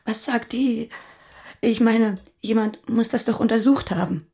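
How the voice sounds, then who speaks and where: A woman's voice: fairly high pitch, measured pace, gravelly, mellow. An actress playing a wary, reserved woman in a radio drama, with restrained delivery. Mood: shaken, restrained. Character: wary, reserved woman living alone under surveillance. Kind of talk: naturalistic radio drama acting.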